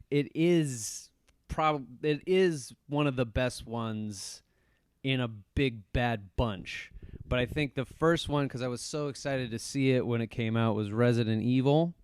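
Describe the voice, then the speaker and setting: A man's voice: medium pitch, clear, resonant tone, no room sound. A man hosting a podcast in casual, sweary conversation about movies. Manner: swears freely. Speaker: podcast host.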